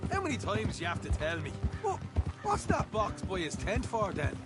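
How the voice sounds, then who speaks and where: An actor playing a brash, boastful young outlaw in a video game, chatty and defensive. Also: male voice, high voice, huge pitch swings, normal speed, over loud music. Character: cocky young outlaw man, boastful and eager to prove himself. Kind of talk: video game dialogue acting.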